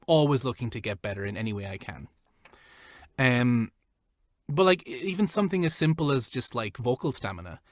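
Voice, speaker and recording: A man's voice: medium pitch, lively intonation, fairly quick, no room sound. Male voiceover guest, casual and rambling, dry close mic. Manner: chatty, loose, lots of "like" fillers. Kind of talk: casual interview chat.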